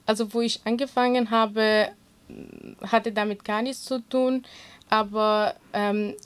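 A woman with a Kurdish accent, Kurdish her first language, answering interview questions in an earnest, halting way with many fillers. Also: a little high, little pitch movement, smooth. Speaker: interview guest, swimming instructor.

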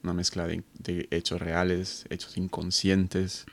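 A man in his thirties, originally from Colombia, with a very low voice and a gravelly fry. He speaks in a thoughtful, halting way, full of fillers and restarts, as he answers an interviewer. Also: completely flat delivery. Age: 30s. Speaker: guest researcher. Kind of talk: conversational interview.

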